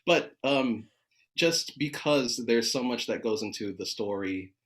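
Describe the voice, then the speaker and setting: A man from California speaks with an American accent at a middling pitch. He is a choir conductor in a casual, conversational exchange. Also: measured pace, fairly bright tone. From San Diego, California, United States.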